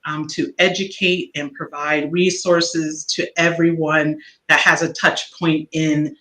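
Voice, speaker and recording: A woman's voice: sits low, level tone, slow pace, sharp tone. A woman co-host chatting casually on a live stream, with lots of fillers like "you know.". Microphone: video call. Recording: echoey room.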